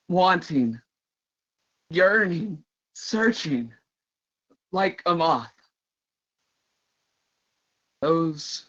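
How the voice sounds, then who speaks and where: A brooding, yearning man, an artist played by an actor, delivers a dramatic monologue. He speaks very slowly in a somewhat high voice, halting as he searches for words. The audio is dull, like a lo-fi conference call. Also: a bit bright. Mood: uncertain. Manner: halting, searching, emphatic repetition.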